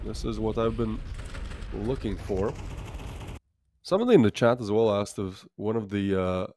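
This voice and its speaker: A low-voiced man from the Baltics commentates a live esports match with chatty, excitable play-by-play. A steady hiss of noise sits under his stream audio. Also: normal speed. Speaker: esports caster.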